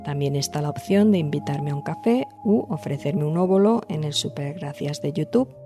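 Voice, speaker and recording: A woman hosting and narrating a scripted storytelling podcast, addressing listeners directly in measured phrasing. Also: sits low, expressive, dark tone. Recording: music behind the voice. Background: background music.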